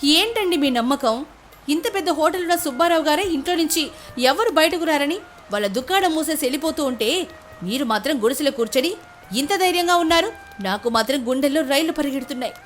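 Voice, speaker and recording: A woman acts a worried village wife in a moral tale. Her voice is high and plaintive, fretting and questioning, with soft music behind it. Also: lively intonation, brisk. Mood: anxious, puzzled. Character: anxious, tearful wife of poor food seller. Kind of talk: dramatized moral story voice acting.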